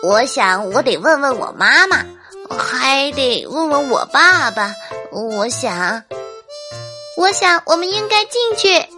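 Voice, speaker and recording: A woman's voice: high voice, big pitch swings, slow, over music, voice pushed up, full character voice. A woman voicing an anxious, hesitant little boy with a slightly whiny delivery in a children's storybook.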